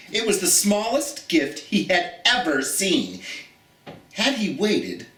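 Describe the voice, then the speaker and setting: A man hosting a children's storytime in a theatrical, folksy, chatty way, addressing listeners directly as in an expressive picture-book read-aloud. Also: a little high, slight background noise. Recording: roomy echo, distant from the mic.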